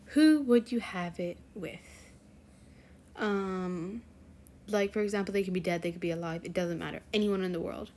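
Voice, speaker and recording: A woman's voice: lowish voice, moderate pace, mellow. Chatty rambling teen girl. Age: teens. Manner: rambling, chatty, many fillers and self-corrections. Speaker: fanfiction channel host. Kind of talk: casual chat to viewers.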